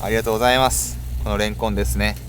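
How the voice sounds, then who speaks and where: A man's voice: on the low side, fairly even intonation, rapid-fire. A man presenting a casual vlog to camera, explaining things politely with frequent fillers. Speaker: cycling YouTuber, host. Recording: clear outdoor ambient and vehicle noise.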